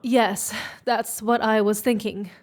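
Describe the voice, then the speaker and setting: An urgent, decisive expedition leader in a sci-fi audio drama. Her voice is high, and she speaks like someone used to giving orders under pressure. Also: steady tone. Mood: resolute. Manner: commanding, hurried.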